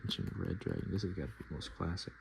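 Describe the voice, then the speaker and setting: Hushed, gravelly low-voiced ASMR man. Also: animated, fast talker, slight background noise, poor audio. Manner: hushed, casual murmured asides. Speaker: ASMR video host. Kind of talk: ASMR, softspoken and whispered card show-and-tell.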